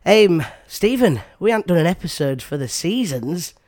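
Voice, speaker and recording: A man's voice: high voice, sing-song, normal speed, a little mellow. Chatty man with a cold. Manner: chatty, frequent ums and restarts. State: has a cold. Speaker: podcast host. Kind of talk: casual chat.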